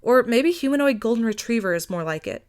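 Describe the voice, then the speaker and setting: A woman's voice: a little high, animated, a little fast, natural voice. Woman acting small grouchy woman, huffy, bossy, complaining, exasperated yet fond, audiobook character. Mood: huffy, teasing.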